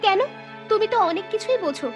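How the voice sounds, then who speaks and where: A woman's voice: very high-pitched, expressive, fairly quick, soft background music. A woman voicing a cold, unapologetic young woman with a dismissive manner in a cartoon. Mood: defiant. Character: cold, defiant young woman leaving her lover. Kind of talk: melodramatic cartoon acting.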